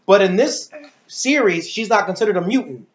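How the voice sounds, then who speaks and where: A woman's voice: mid-range voice, animated, moderate pace. Woman, emphatic movie rant, rambling and sweary, roomy echo, dull lo-fi recording. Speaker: movie reviewer. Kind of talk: opinionated casual review rant.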